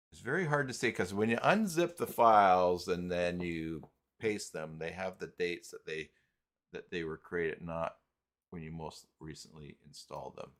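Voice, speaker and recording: A man hosting an unscripted live software tutorial, talking haltingly as he thinks aloud while working. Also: sits low, vocal fry. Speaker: host of live tutorial stream.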